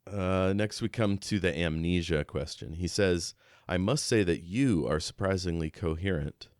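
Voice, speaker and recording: A man with a very low, smooth voice talks through a book as a podcast co-host. He feels his way through his thoughts in a halting, conversational manner. The sound is dry and close, with no room around it. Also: darkish tone, dry recording. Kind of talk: casual book discussion with read-aloud excerpts.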